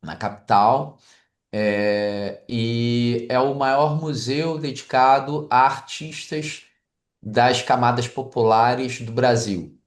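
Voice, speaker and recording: A man's voice: mid-range voice, steady tone, slow. A man from Brazil with a Brazilian Portuguese accent, a museum director, answering interview questions hesitantly with many filler sounds. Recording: echoey room.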